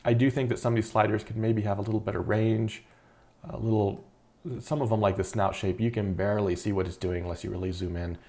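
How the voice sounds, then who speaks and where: A man in his thirties, an indie game developer, talking casually through a screen-recorded devlog with a relaxed, rambling manner and many fillers. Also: fairly low pitch, fast. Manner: relaxed, chatty, frequent uh fillers and repeated words. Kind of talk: casual video devlog narration.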